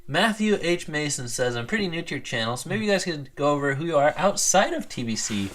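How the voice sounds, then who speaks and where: A man's voice: fairly high pitch, big pitch swings, fairly quick, fairly bright tone. A man chatting informally and playfully as co-host of a fan show. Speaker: graphic designer. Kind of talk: casual chat, reading fan questions.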